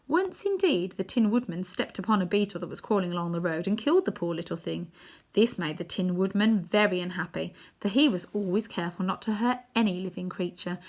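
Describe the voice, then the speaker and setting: Expressive woman reading a children's story. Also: mid-range voice. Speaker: storybook reader.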